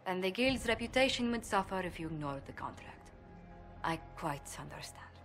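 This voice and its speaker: A woman's voice: on the low side, animated, normal speed, a bit bright, faint music behind. An actress playing a refined, earnest noblewoman diplomat in a fantasy game cutscene, polite, formal and articulate. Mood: composed. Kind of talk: scripted video game cutscene acting. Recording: long hall reverb, faint background ambience.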